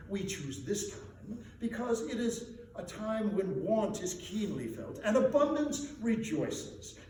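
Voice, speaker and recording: Man acting courteous portly gentleman, echoey. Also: high-pitched, big pitch swings, slow, voice pushed up, heavily acted. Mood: earnest, solicitous. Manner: courteous, hesitant, formal. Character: polite, portly charity-collecting Victorian gentleman. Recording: echoey room, distant mic, faint noise.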